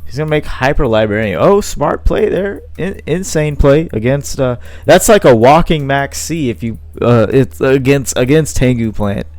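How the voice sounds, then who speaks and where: A man's voice: medium pitch, expressive, normal speed, hardly any pauses, a little mellow, no room sound, soft background music. A man giving casual play-by-play commentary on a card game match, thinking aloud with frequent fillers. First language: not Italian.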